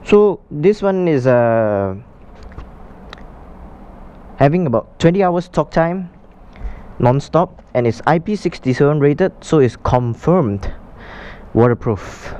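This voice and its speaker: Guy doing a casual unboxing vlog, soft mellow tone, clean smooth voice, kinda halting. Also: a little high, lively intonation. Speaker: motovlogger presenting a product unboxing. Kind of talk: casual unscripted product walkthrough.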